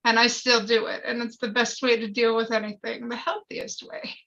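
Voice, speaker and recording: A woman's voice: on the high side, big pitch swings, moderate pace, creaky, a bit bright. A woman in casual discussion, speaking candidly and emphatically about things in a personal way, over dull lo-fi audio.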